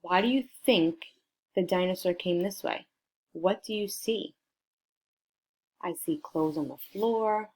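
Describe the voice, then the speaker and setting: A woman reading a picture book aloud to children in an expressive way, prompting her listeners with questions. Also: lowish voice, unhurried, long pauses. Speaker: storybook reader for children.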